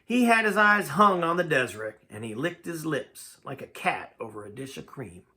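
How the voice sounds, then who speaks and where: A man's voice: fairly high pitch, very animated, normal speed, sharp tone. A man reading a first-person tale aloud in a dramatic, folksy storytelling manner. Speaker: amateur audiobook reader.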